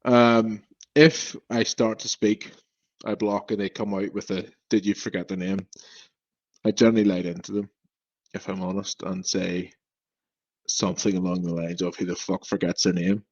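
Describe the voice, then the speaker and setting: Young guy from northern ireland who stammers, blunt, lowish voice, dull muffled audio. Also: little pitch movement, moderate pace, no echo. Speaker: podcast guest. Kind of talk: casual interview talk.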